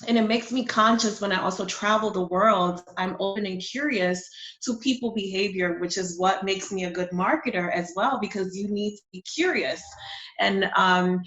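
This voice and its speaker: A woman's voice: medium pitch, a bit bright. A woman from Honduras, a marketing strategist speaking confidently and emphatically as an interview guest. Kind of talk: interview conversation. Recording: dull, roomy sound.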